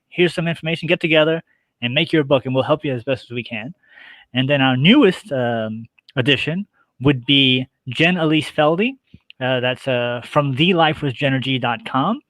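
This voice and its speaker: A middle-aged man talking casually as an interview guest, explaining details in a halting, list-like way. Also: medium pitch, a bit bright, dry recording, poor audio. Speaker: guest, radio show host.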